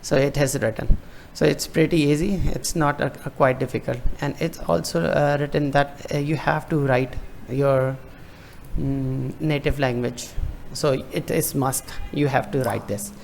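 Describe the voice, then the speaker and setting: A man giving a screen-recorded walkthrough of a form, hesitant and halting with many fillers and restarts. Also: fairly high pitch, fairly even intonation, creaky, a little mellow, a little hiss or hum. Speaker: tutorial presenter.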